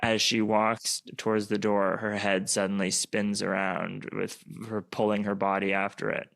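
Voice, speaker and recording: A man's voice: fairly low pitch, monotone, moderate pace, creaky, a bit bright. A man reading scripted stage action as narrator of a radio play.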